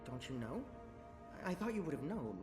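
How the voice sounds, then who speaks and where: A man's voice: medium pitch, sing-song, brisk, close to an everyday voice. An actor voicing a nervous young male engineer who stammers and gets flustered. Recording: roomy game audio with music.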